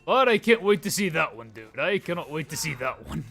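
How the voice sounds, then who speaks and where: A man's voice: on the high side, big pitch swings, moderate pace, vocal fry, fairly bright tone, faint music behind. A man in his late twenties hosting a casual game livestream, chatting to viewers in slangy, informal talk. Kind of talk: casual gameplay chat with viewers.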